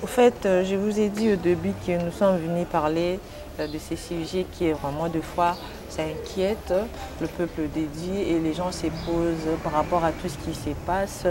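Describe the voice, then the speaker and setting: A woman asks a pastor questions in a fairly low voice. She is polite, thanks him often, and pauses on fillers as she forms each question. Clear background noise runs under her speech. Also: steady tone. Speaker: interviewer. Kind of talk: interview questions. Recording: noisy background.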